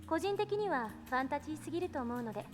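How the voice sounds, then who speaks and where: A woman's voice: sits high, animated, very fast, soft background music. A woman voicing a composed, intellectual eighteen-year-old female scientist in a visual novel game, lecturing precisely and assertively. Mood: serious, insistent.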